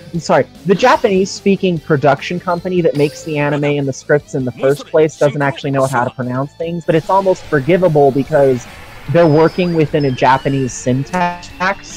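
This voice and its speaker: A man's voice: fairly high pitch, a little mellow, low-quality recording. A young man in casual chat, explaining things earnestly and choosing his words with care. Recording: faint music behind the voice. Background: music.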